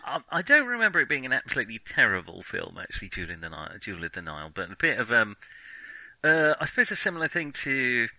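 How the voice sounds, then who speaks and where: A man's voice: mid-range voice, huge pitch swings, quick pace, vocal fry, bright, forceful tone, slight background noise, rough recording. A man co-hosting a casual film discussion podcast, speaking in a hesitant way and often qualifying what he says.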